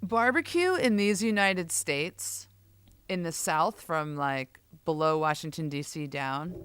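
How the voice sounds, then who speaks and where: A woman with a low voice chatting enthusiastically with viewers while testing bass gear, often breaking off mid-thought. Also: expressive, takes it slowly, clear, resonant tone, no room sound. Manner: chatty, enthusiastic, self-interrupting. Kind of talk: casual chat with live viewers. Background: loud bass guitar playing.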